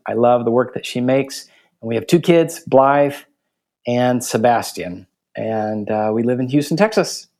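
A man's voice: medium pitch, measured pace, a little mellow. Thoughtful male theology professor. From Guatemala. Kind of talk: interview conversation.

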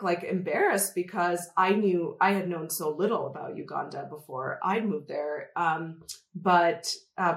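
A woman with a slightly low voice speaks conversationally as an interview guest. She is thoughtful and hesitant and often pauses on fillers. Her voice carries an echo, as if recorded in a bare room. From Canada. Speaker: interview guest, development consultant. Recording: roomy echoey room.

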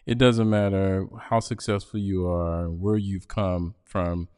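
A man hosting a podcast interview, speaking haltingly with many restarts and "uh"s. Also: deep voice, fairly even intonation, darkish tone, clear, resonant tone, no echo, studio quality. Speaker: podcast host. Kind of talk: interview conversation.

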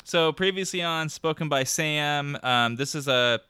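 A man's voice: on the high side, measured pace, sharp tone, smooth, no echo, clean studio sound. A man hosting a casual podcast, chatting and laughing often while he recaps a TV episode. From New York state. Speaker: podcast host.

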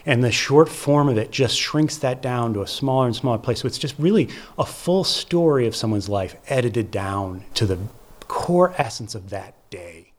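A man's voice: mid-range voice, animated, moderate pace. A man with an American accent giving conversational, explanatory interview answers as a theater producer, stressing points with short repeated phrases. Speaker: theater festival producer and curator, interview guest.

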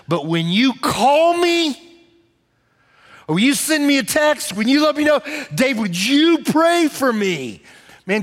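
A pastor delivers his sermon in a slightly higher-than-average man's voice. He speaks conversationally and drives his points home by repeating key phrases. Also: fairly bright tone. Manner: conversational preaching with emphatic repetition.

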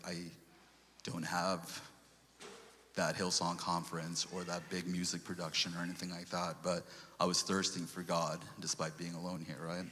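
Man, very low voice, bright and forceful tone, earnest testimony, long hall reverb. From Sri Lanka. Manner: earnest, with restarts and quoted scripture. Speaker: lay speaker giving a testimony. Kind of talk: church testimony talk.

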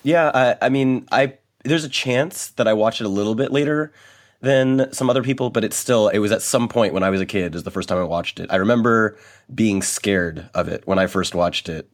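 Low-voiced young man, tired hesitant host. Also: brisk. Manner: hesitant, many ums and restarts. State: voice worn after a late party. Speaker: podcast host. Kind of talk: casual podcast chat.

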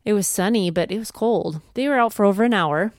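A woman's voice: medium pitch, a little fast, no room sound, clean studio sound. A woman, a podcast co-host, chatting casually and encouragingly as she shares advice and anecdotes from home life. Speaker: podcast co-host, homeschooling mother.

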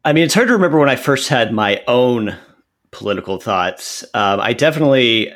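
A man in his thirties from Central Texas, a podcast guest who explains his views in an earnest, measured way. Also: mid-range voice, expressive, fairly bright tone. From Central Texas, USA. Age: 30s. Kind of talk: podcast interview answers.

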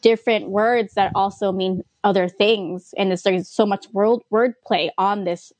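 A young woman hosting a podcast, chatting excitedly with lots of "like" fillers. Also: medium pitch, measured pace, no echo. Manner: excited fan talk with many "like" fillers. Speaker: podcast host. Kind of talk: casual podcast chat.

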